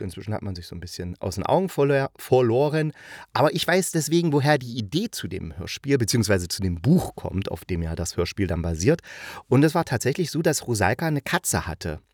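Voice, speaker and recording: A man's voice: mid-range voice, no echo, studio quality. A man from eastern Germany hosting a review, talking in a casual, chatty and enthusiastic way. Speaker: audio drama review host.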